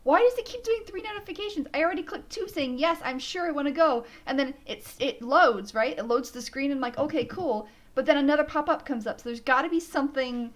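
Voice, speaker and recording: A young woman co-hosting a cosplay livestream, soft-spoken and giggly, chatting casually over a video call mic. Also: high-pitched, brisk, hardly any pauses. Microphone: desktop mic on a video call.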